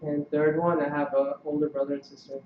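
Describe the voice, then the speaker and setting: A man's voice: fairly high pitch, level tone, fairly quick, a little hiss or hum. A young man chatting casually as a member of a student meeting. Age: young adult. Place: meeting room. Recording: distant muffled mic in echoey room.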